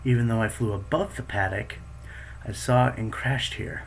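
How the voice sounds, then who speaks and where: Low-voiced man reading his story aloud. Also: moderate pace, creaky, a little hiss or hum, rough recording. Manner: plain reading that jumps higher for quoted thoughts. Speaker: fanfiction reader.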